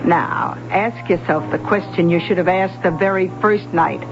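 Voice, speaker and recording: A woman's voice: fairly low pitch, normal speed, close to an everyday voice. An actress playing a shrewd, motherly older woman who teases a young detective in a 1970s radio drama. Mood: teasing. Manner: teasing, wise, streetwise. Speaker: confidante to a detective. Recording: dull lo-fi old radio broadcast with music.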